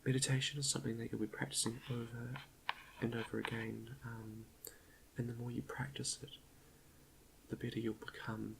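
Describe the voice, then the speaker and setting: A man quietly leading an ASMR guided meditation in a soft half-whisper, gentle and a little hesitant, close to the microphone with chalk clicks behind him. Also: medium pitch, flat delivery, moderate pace, background noise. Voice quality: soft, breathy half-whisper with creaky fry.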